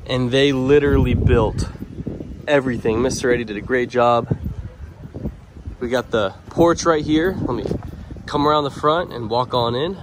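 A man's voice: medium pitch, background noise. A young man casually walking viewers through a small shop, talking straight to them in an upbeat way. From Louisiana. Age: young adult. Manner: upbeat, addresses viewers directly. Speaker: vlogger. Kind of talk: casual walkthrough vlog. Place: outdoors by a waterside dock, then inside a small shop. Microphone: handheld camera held close.

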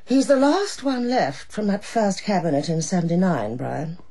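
An actress playing a sharp-tongued older female Prime Minister in a radio drama, with a clipped, commanding English accent and a condescending manner. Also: fairly low pitch, moderate pace. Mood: imperious, needling.

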